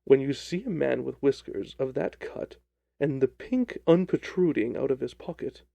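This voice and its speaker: A man voicing a shrewd, self-assured gentleman detective with a measured, composed manner in a storybook reading. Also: medium pitch, creaky, dry recording, close to an everyday voice. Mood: composed, amused.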